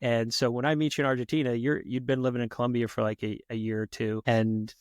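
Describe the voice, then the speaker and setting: A man from the United States hosts a podcast in an easy, chatty way, and his long questions are full of fillers. His voice sits in a medium pitch range. The recording is dry and close. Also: flat delivery, quick pace, clear, resonant tone, dry recording. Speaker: podcast host. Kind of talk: casual interview.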